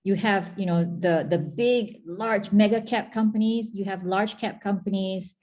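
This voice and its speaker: A woman's voice: mid-range voice, fairly even intonation, measured pace, darkish tone. A middle-aged Malaysian woman answering interview questions in a chatty, talkative way, with frequent restarts and fillers. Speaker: guest, former tech agency chief.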